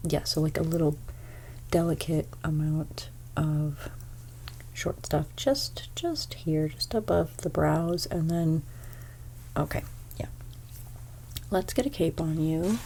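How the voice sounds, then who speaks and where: Soft, husky-voiced woman with a stuffy nose. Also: low-pitched, lively intonation, slow, slight background noise, low-quality recording. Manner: soft-spoken, drifting into whispers; chatty salon small talk, gentle questions. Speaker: hairstylist in role play. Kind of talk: ASMR role play.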